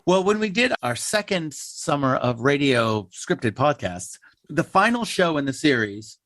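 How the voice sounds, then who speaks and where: A man with a fairly high voice talks in a very lively, swooping way. He is a playwright answering interview questions, emphatic and wry, slipping into imagined quotes. The sound is dry and close. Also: a little slow, no echo. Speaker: theater company playwright being interviewed. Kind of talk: animated conversational interview answers.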